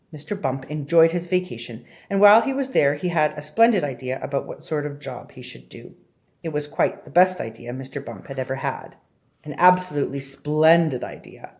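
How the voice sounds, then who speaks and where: A woman reading a children's story aloud expressively, often turning to address young listeners directly with questions. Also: deep voice. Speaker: storybook reader.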